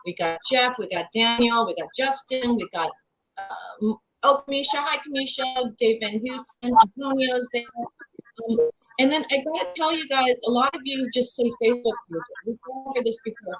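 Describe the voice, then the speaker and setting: A woman's voice: sits high, normal speed, rough recording. A woman co-hosting a horror livestream, chatting casually with a guest and greeting the viewers.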